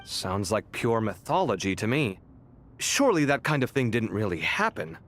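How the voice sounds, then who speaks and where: An actor playing a conscientious young scholar in a video game, expressive and theatrical, quick to grumble. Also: male voice, on the low side, soft background music. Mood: insistent. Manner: animated, theatrical. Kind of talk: video game dialogue acting.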